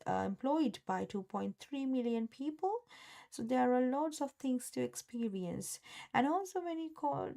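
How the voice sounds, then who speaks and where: Mellow woman pitching study abroad help. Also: vocal fry. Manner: hesitant, many fillers and restarts. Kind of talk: casual promotional talk.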